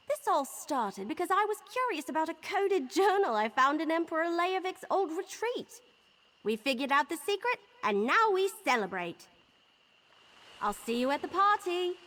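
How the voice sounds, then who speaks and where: An actress playing a chatty, perky young adventurer woman in a video game, with a quirky, expressive delivery. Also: high voice. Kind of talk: video game dialogue acting.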